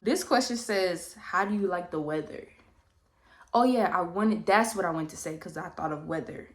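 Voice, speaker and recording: A woman's voice: lowish voice, normal speed. A woman around twenty, a little congested, chatting casually and rambling while doing her makeup for a vlog Q&A. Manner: rambling, relaxed, heavy use of "like" and "you know what I mean". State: slightly congested, coming down with a cold. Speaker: vlogger. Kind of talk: casual get-ready-with-me Q&A chat.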